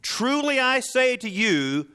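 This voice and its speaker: A man's voice: very high voice, big pitch swings, pushed and bright, clean tone. A man preaching a dramatic sermon with emphatic, theatrical, rhetorical delivery and sardonic asides. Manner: emphatic, theatrical delivery with deliberate repetition for effect.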